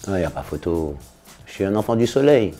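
A man in his fifties, a singer and DJ, answering interview questions in a relaxed, casual way, full of slangy shout-outs. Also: low-pitched, brisk, over loud music. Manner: relaxed, laid-back, with slangy big-ups. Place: studio in front of blue backdrop.

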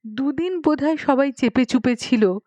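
A woman's voice: high voice, normal speed, darkish tone, no echo. A woman narrating a story expressively, with a dramatic storytelling manner. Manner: dramatic storytelling with acted dialogue. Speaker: audiobook narrator.

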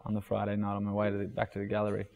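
A man's voice: fairly low pitch, completely flat delivery, rapid-fire, vocal fry, noisy background. A man, chatting casually and rambling a bit in an interview. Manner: rambling, casual, loose slang. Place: gallery space. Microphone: lapel mic.

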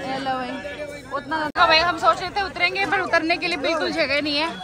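A woman chatting lively to her viewers while vlogging a train journey, in a crowded coach. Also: very high-pitched, a little fast. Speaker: travel vlogger. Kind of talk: casual vlog narration. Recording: loud crowd noise, phone mic.

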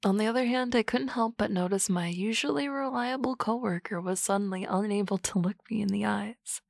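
A woman playing a teasing, confident office boss in a soft, close, whispered ASMR roleplay. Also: medium pitch, a little fast, gravelly, no room sound.

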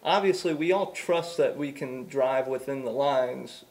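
A man's voice: medium pitch, unhurried, a little mellow, low-quality recording. A man in his thirties co-hosting a golf show, talking casually in a small studio with many stammering restarts. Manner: frequent stammering restarts and agreeing fillers. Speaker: golf instructor and co-host. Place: small studio set with a backdrop.

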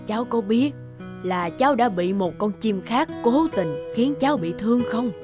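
A woman voicing a kindly old woman healer in a fairy-tale cartoon, giving gentle instructions. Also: on the high side, expressive, moderate pace, music in the background, lightly acted. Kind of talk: animated fairy-tale dubbing.

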